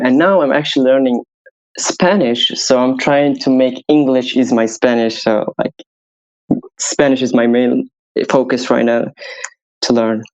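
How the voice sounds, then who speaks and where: A man's voice: medium pitch, vocal fry, darkish tone, dull audio. Hesitant young man. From Syria. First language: Arabic. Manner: hesitant, restarts and searches for words. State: tired from staying up all night. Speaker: guest music producer. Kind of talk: casual interview answers.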